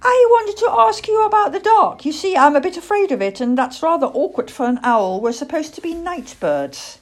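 A woman's voice: high-pitched, sing-song, normal speed, pitched above the performer's own voice. A woman voicing an anxious, eager young boy barn owl in a storybook, with a childlike, earnest manner.

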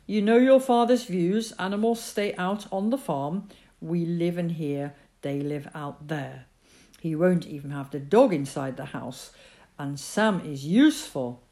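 A woman doing a gentle farm mother. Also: fairly low pitch, a little slow. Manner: warm, gentle.

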